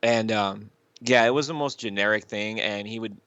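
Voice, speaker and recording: A man with a fairly low voice, recorded close and dry, chats as a podcast co-host. He talks in a rambling, halting way, circling back over his phrases and swearing casually as he gives his take. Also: fairly bright tone, clear, resonant tone, no room sound, dull audio. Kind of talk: casual chat, reviewing YouTube videos.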